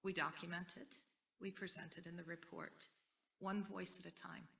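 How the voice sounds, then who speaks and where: An American woman speaking as a panelist at a conference, earnest and deliberate, repeating key phrases for weight. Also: on the low side, little pitch movement, gravelly, a bit bright, far from the mic, slight background noise, low-quality recording. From United States. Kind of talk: panel talk.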